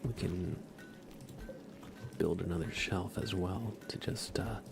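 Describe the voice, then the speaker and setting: A man with a low, creaky voice talks slowly and softly as he hosts a cozy gaming stream. He often slips into a whisper, in an unhurried ASMR style, with noise behind him. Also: music in the background. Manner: soft-spoken, often dropping into a whisper. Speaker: livestream host.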